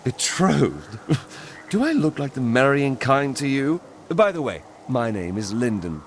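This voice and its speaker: Lyndon, a sly charming rogue. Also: male voice, medium pitch, big pitch swings, a little slow. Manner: smooth-talking, sly, flirtatious. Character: roguish charming male thief and scoundrel. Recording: noisy background.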